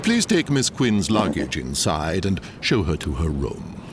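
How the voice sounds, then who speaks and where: A man's voice: huge pitch swings, unhurried, full character voice. A man playing a stiff, formal head manservant in a gothic audio drama, deferential and precise. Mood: formal, welcoming. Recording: noisy sound-effect backdrop with rumble.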